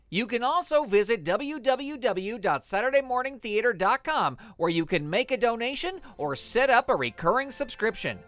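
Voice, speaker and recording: A man's voice: high-pitched, fairly bright tone, no echo, clean studio sound. A man narrating and announcing in a scripted, theatrical radio-serial style. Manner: theatrical, presentational.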